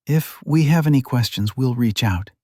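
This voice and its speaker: A man voicing a sensible young woman adventurer in an audiobook, calm, polite and diplomatic. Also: medium pitch, normal speed, dry recording, clean studio sound, voice pushed up, lightly acted.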